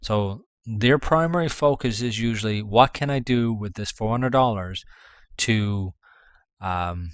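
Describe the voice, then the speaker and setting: Canadian guy rambling thru business tips, lowish voice, dry sound. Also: measured pace, clear, resonant tone, dry recording. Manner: rambling, with restarts and fillers. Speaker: dropshipping vlogger. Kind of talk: casual advice talk.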